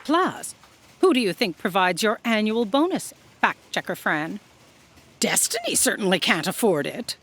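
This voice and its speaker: A woman's voice: mid-range voice, sing-song, normal speed, a bit bright. A woman playing an imperious, self-serving female boss in a scripted comedy audio drama, pompous and authoritative. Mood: smug.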